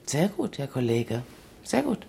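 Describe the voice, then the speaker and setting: Wry lady detective in a radio play, deep husky voice, matter-of-fact. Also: very animated, unhurried, dark tone, heavily acted. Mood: teasing. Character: dry, teasing female police investigator. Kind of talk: radio drama acting.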